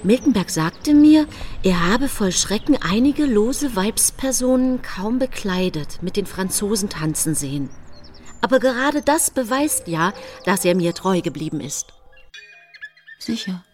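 A woman's voice: medium pitch, lively intonation, unhurried, a little mellow, faint music behind, close to an everyday voice. An actress playing a self-righteous young 19th-century wife and mother who confides her story in a genteel, self-satisfied way in a radio play. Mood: confiding, then coy, wistful. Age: late twenties. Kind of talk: radio play monologue, first-person storytelling.

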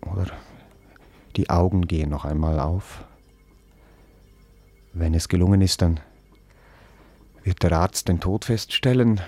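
An actor playing a pedantic, self-important musician in a radio play, wordy and hesitant, setting things out with clinical precision. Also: male voice, deep voice, a little slow, long pauses, warm tone. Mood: calm, clinical. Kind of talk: naturalistic radio play dialogue.

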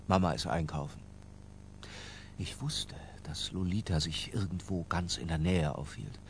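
An actor playing an obsessed, middle-aged European intellectual who narrates his diary in a radio play, measured, erudite and disdainful. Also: deep voice, a little hiss or hum.

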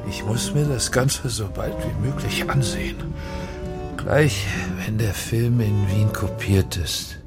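A man's voice: on the low side, slow pace, over loud music. An actor playing a driven, exhausted male film director, terse and preoccupied, in a naturalistic radio drama. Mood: urgent.

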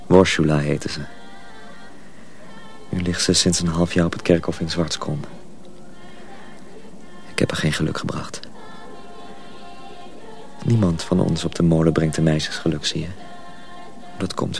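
An actor playing a grave, protective older journeyman in a Dutch radio drama, speaking in solemn, hushed tones. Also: low-pitched, gravelly. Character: grave older journeyman mill hand, protective, sorrowful, secretive.

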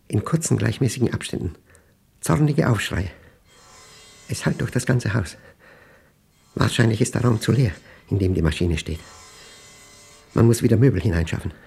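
Gravelly, paranoid, obsessive man. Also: lowish voice, a little mellow, exaggerated voice. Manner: clipped, fragmented, intense delivery with emphatic outbursts. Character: paranoid, obsessive man recording a diary, battling a machine. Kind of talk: German radio play monologue as spoken diary.

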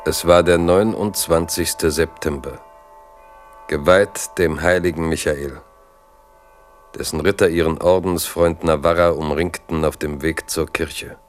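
Deep low guy narrating a literary radio play. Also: steady tone, a little slow, a little hiss or hum.

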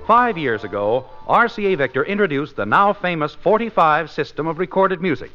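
A male radio announcer from the 1950s reads scripted copy with a swooping, fairly high voice. The recording is dull and old, with music behind him. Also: sing-song, moderate pace, bright, forceful tone.

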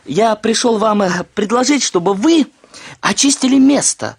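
An actor playing a brash, self-important young suitor in a radio play, curt and pompously formal, with little hesitations. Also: male voice, sits high, lively intonation, normal speed, breathy edge. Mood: self-assured. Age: young adult. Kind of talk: Russian radio play acting.

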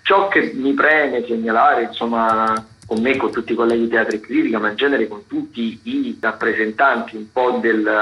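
A man giving spontaneous radio commentary as a theatre critic, wordy and halting, often correcting himself. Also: mid-range voice. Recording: dull, band-limited remote radio link.

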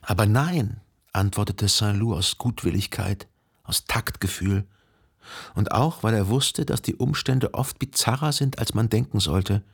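Literary radio drama: contemplative, lovelorn narrator, measured delivery, raspy with heavy vocal fry. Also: male voice, on the low side. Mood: wry reflection. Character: reflective, lovelorn literary narrator recalling his own past.

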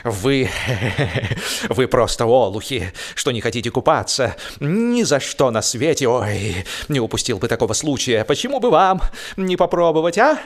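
A man's voice: medium pitch, very animated, normal speed, close to an everyday voice. A man playing a spluttering, gasping bluffer. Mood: forced bravado. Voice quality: hoarse, gasping. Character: soaked, spluttering man bluffing with wounded bravado.

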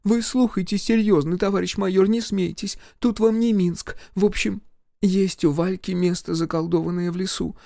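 A man's voice: high-pitched, fairly even intonation, moderate pace, gravelly, darkish tone, dry recording, pitched above the performer's own voice. A man voicing a fussy, superstitious middle-aged village mother-in-law who scolds and gossips. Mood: conspiratorial. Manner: scolding, conspiratorial.

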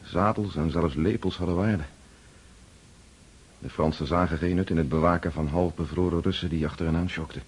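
A weary freed prisoner of war in a radio play. His voice is deep and rough-edged, and he recounts hardship in a sombre, reflective way. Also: moderate pace. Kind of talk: radio drama acting.

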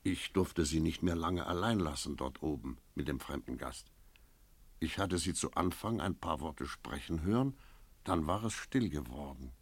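Cynical innkeeper guy in a radio play, really low voice, brooding and reflective. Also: expressive, normal speed, husky, no echo, heavily acted. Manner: reflective, skeptical, philosophical. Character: cynical male innkeeper, brooding first-person narrator. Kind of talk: German radio play acting and narration.

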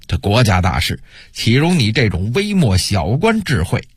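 A man puts on a haughty military commander from a historical audiobook. He speechifies with pompous authority and scorn, his husky voice rising and falling widely. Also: mid-range voice, big pitch swings, takes it slowly, no room sound, studio quality, exaggerated voice. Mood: cold scorn.

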